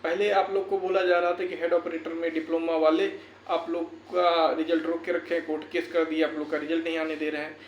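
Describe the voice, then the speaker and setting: A man's voice: a little high, flat delivery, brisk, lo-fi sound. A man speaking to viewers in an earnest, exhortative way, repeating phrases for emphasis in a motivational talk. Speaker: YouTuber and educator. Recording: echoey room, faint background noise.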